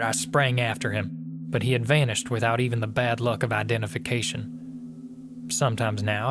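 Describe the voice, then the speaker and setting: An actor playing a tormented husband confessing to murder, reading a gothic tale in a somber, formal, literary manner. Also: male voice, medium pitch, noisy background, close to an everyday voice. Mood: dark, tense. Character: tormented, guilt-ridden husband confessing to murdering his wife. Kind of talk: dramatic first-person reading of a gothic tale.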